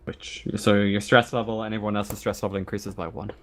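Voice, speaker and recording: A man's voice: on the low side, flat delivery, fairly quick, slight background noise. A man acting as game master, talking casually with players during a tabletop roleplaying session.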